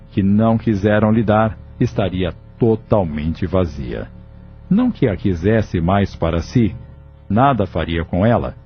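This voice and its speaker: Male narrator, deep-leaning voice, steady solemn storytelling, lo-fi sound. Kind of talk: scripted audiobook narration.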